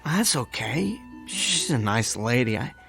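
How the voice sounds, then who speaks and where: An actor playing an anxious small-time hustler in a radio drama, with an American accent and streetwise, stammering talk. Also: male voice, mid-range voice, very animated, measured pace, creaky, music in the background. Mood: subdued, wistful. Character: anxious, desperate down-on-his-luck small-time hustler, man.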